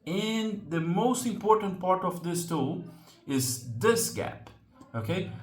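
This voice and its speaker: A man from Brazil, a native Portuguese speaker, hosting a slingshot channel and explaining a tool in English in a casual, halting way with many ums and restarts. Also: mid-range voice, a bit bright, reverberant.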